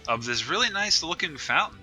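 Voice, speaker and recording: A man's voice: on the high side, big pitch swings, brisk, bright, forceful tone, low-quality recording. A man co-hosting a podcast in casual, sweary banter, often incredulous and prone to laughing. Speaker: podcast co-host. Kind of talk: casual comic-review banter. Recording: music playing behind the voice.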